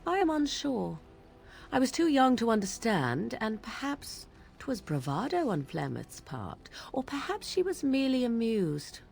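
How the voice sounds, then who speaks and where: A woman's voice: fairly high pitch, very animated, measured pace, gravelly, darkish tone, slight background noise, heavily acted. A woman playing an aloof, sardonic young witch of the wilds in a video game, with archaic, formal and haughty phrasing. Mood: musing, sly. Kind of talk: video game character acting.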